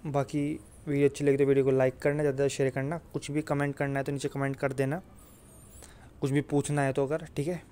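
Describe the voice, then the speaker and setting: A man's voice: mid-range voice, level tone, a little fast, dark tone, a little hiss or hum. A young man casually explaining exam and job details to students in a chatty way, often checking in with them. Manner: chatty, keeps asking "theek hai na bhai". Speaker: exam coaching YouTuber.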